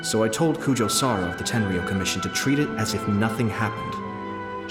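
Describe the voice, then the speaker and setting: Male actor as a young aristocratic clan leader, game dialogue, lowish pitch, measured, formal, calculating, background music. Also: natural voice. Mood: measured, serious. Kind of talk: video game character dialogue. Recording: music behind the voice.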